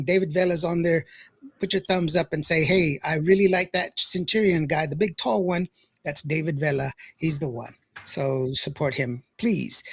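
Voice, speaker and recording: A man hosting a radio podcast, chatting with a guest and reading out listener comments. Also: sits high. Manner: reads listener comments, warm shout-outs. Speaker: podcast host and DJ. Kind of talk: casual interview chat.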